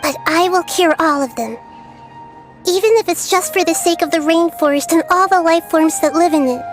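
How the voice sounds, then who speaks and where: A woman voicing a gentle young girl goddess of nature in a video game, who speaks in a calm, thoughtful and earnest way. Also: extremely high pitch, normal speed. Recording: game soundtrack playing loudly behind. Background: game soundtrack music.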